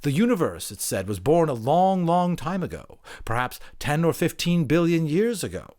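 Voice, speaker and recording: Man voicing an indignant, high-pitched sea blob creature. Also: big pitch swings, darkish tone. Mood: lofty storytelling. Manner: haughty, pompous, slow and deliberate. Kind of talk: audiobook character voice.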